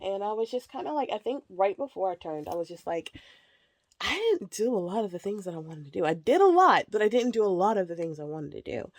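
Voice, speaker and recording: A woman's voice: medium pitch, a little mellow, dry recording, clean studio sound. A woman in her thirties vlogging casually from a child's bedroom while packing, rambling with lots of fillers and a slightly nasal voice from a cold. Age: 30s. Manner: rambling, laughs often, lots of "like" and "um"; packing clothes while talking. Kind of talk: casual chat.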